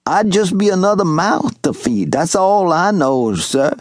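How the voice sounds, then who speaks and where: A man voicing a loyal, humble elderly farm servant who speaks in a slow, earnest Southern rural drawl. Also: high-pitched, big pitch swings, no room sound, studio quality, voice pushed up, exaggerated voice. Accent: Southern US rural dialect. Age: older.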